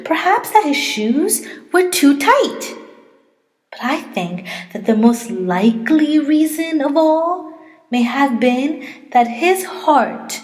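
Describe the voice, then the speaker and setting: A woman teacher reading a children's storybook aloud in a theatrical, drawn-out and emphatic manner. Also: sits high. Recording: echoey, reverberant hall.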